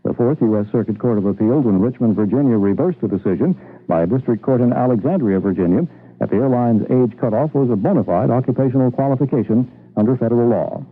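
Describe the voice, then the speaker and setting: A man's voice: mid-range voice, fast, faint music behind. An American man reading a scripted radio newscast as its anchor. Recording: muffled narrow-band old radio broadcast.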